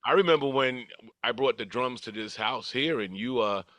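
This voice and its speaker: A man's voice: medium pitch, moderate pace, fairly bright tone, dry recording. An older man telling stories from his life as a podcast guest, casual, chuckling through anecdotes and acting out dialogue. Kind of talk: casual reminiscing storytelling.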